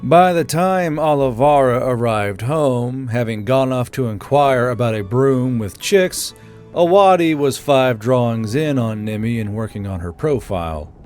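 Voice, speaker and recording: A man's voice: mid-range voice, lively intonation, unhurried, a little mellow, soft background music. A man reading scripted fiction as an audiobook narrator.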